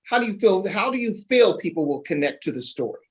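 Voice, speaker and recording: A man with a fairly high voice hosts an interview. He is conversational and affirming, with short murmurs of agreement. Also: big pitch swings, brisk. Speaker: interviewer, host.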